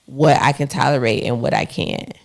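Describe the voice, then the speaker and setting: A hoarse woman co-hosting a relationship podcast who speaks firmly and matter-of-factly. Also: extremely low pitch, moderate pace. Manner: firm, matter-of-fact, frequent backchannel. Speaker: podcast co-host. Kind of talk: casual relationship chat.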